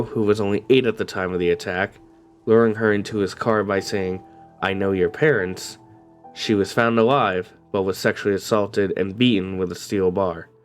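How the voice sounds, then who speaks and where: A man with a low voice narrates a true crime story in a somber, steady way. His voice is slightly raspy from a cold that is just starting. Soft music plays faintly underneath. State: coming down with a cold. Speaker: host.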